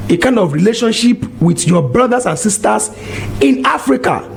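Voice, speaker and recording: A man's voice: high-pitched, a little mellow, low-quality recording. A man, preaching a monologue full of exhortations and rhetorical questions. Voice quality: gravelly. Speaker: religious commentator.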